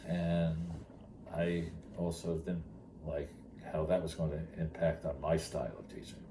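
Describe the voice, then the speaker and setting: Very deep-voiced older man, noisy recording. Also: level tone, unhurried. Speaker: retired teacher telling his story. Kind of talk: conversational personal storytelling. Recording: clearly noisy background.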